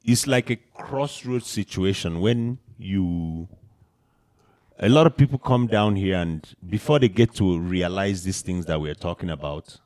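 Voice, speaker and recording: A man's voice: deep voice, unhurried, a little mellow. A man born in Canada co-hosting a casual discussion, explaining history in a lecturing way with emphatic rises.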